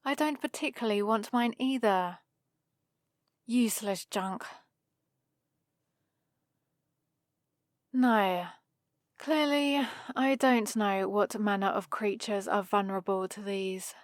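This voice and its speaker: A woman's voice: medium pitch, a little slow, dry recording, studio quality, lightly acted. A woman playing a prim, bossy and exasperated vampire librarian in a scripted ASMR roleplay, scolding in a fussy, theatrical way. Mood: annoyed, haughty.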